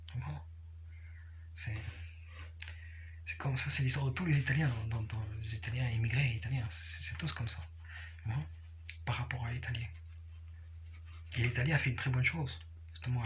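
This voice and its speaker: A man giving a casual, unscripted explanation, repeating himself haltingly and often checking that the listener follows. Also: mid-range voice, noisy background. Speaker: capoeira practitioner sharing views.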